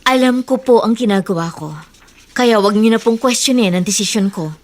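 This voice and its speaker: A woman's voice: on the high side, normal speed, darkish tone, slight background noise, natural voice. An actress playing a guarded lady of the house in a Tagalog radio drama, firm but tender underneath.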